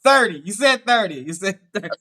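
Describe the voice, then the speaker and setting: A high, bright male voice with a Pittsburgh accent. He hosts a dating show and fires off quick, upbeat questions, backing them with steady affirmations. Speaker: dating coach host. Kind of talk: live dating show interview.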